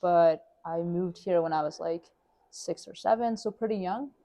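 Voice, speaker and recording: A woman's voice: on the low side, mellow. A young woman from Mexico, a guest in a casual interview, talking chattily with lots of fillers and restarts. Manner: chatty, many fillers and restarts, quick to laugh.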